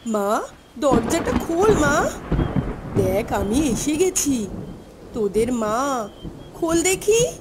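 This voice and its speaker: A woman's voice: high-pitched, sing-song, slow pace, long pauses, noisy background, full character voice. A woman playing an eerily tender, coaxing mother in a ghost story, with a trembling, plaintive voice. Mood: plaintive, calling. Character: mother come home at night in a storm, eerily tender, coaxing. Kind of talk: dramatised Bengali ghost story acting.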